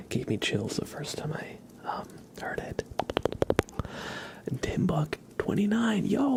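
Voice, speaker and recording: A man hosting a live ASMR stream, talking casually and haltingly to his viewers. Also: a little high, vocal fry. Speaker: livestream host.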